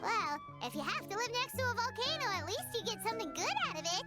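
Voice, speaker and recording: A woman voicing a small, childlike, excitable and gluttonous fairy companion in an anime game, with a squeaky, exaggerated delivery. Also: fast, loud music behind the voice. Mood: curious, cheeky. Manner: exaggerated, speaks in third person.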